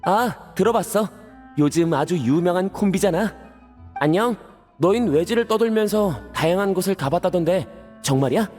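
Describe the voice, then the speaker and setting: A sociable young game character with a high voice. He is casual and talkative, in a reverberant mix with music underneath. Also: expressive, normal speed, a little mellow. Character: friendly, talkative young household member. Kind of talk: Korean video game voice acting.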